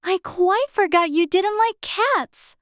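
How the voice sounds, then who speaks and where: A woman's voice: extremely high pitch, animated, takes it slowly, dry recording, clean studio sound, pitched above the performer's own voice, heavily acted. A woman voicing a polite, eager little girl with an earnest, childlike manner in a dramatized audiobook. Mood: apologetic. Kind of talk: dramatized audiobook character dialogue.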